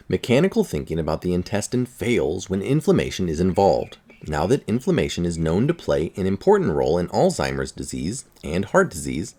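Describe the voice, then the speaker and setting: Low-pitched man reading an article aloud. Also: a little mellow. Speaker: article reader.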